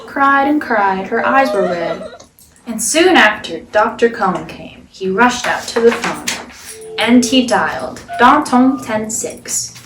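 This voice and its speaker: A woman's voice: fairly high pitch, roomy sound, distant mic, noisy background. A woman storyteller hosting a read-aloud show, reading a picture book expressively for children.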